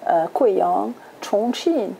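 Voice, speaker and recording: A woman's voice: medium pitch, animated, drawn-out words, creaky, mellow, slight background noise, rough recording. A middle-aged woman from southwest France answering interview questions conversationally, with many hesitations and self-corrections. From Toulouse, southwest France. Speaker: interviewee, executive recruiter.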